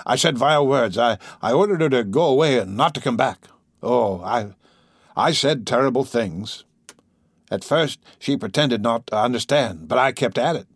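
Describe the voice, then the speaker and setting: Man reading as wrinkled old man, lonely, agitated, thin shaky voice, halting repeated words, literary storytelling, dry close sound. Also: medium pitch, expressive, dry recording, voice pushed up. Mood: agitated, grieving.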